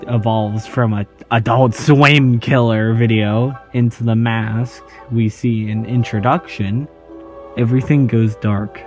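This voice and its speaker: A man talks through horror videos at an unhurried pace in an easygoing, joking tone, reading out on-screen captions as he goes. His voice sits at an ordinary pitch, and faint music plays underneath. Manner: relaxed, jokey, reads on-screen text aloud. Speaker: YouTube host. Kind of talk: casual video commentary.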